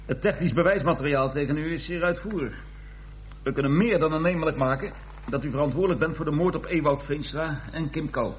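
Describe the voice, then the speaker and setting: An actor playing a determined, dryly sarcastic police investigator in a radio crime drama, speaking with deliberate authority. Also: male voice, on the high side, slight background noise, lightly acted.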